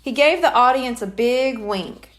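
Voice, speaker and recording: A woman narrating a children's storybook aloud as an expressive scripted reading. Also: on the high side, unhurried. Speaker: audiobook reader.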